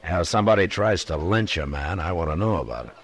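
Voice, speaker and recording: An actor playing a stern, laconic Old West marshal in a measured, firm manner, in 1950s radio western style. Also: male voice, sits low, lively intonation. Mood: stern warning.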